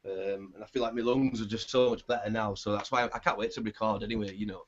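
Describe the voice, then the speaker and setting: A male band singer with a scratchy, raspy voice, chatting in a laid-back, rambling way in an interview and swearing casually. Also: on the low side, steady tone, quick pace. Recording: dull lo-fi sound.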